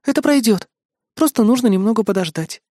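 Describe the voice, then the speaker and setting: A narrator voicing a sweet, timid and devoted young warrior woman with a gentle, eager manner in an audiobook story. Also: medium pitch, lively intonation, a little fast, dry recording, clean studio sound, pitched above the performer's own voice, lightly acted. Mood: gentle pleading.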